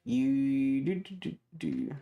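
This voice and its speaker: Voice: medium pitch, huge pitch swings, slow pace, warm tone, soft background music. A streamer chatting casually while playing a video game, rambling along to themselves and the viewers. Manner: rambling, talks to self and chat. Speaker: streamer hosting a live stream.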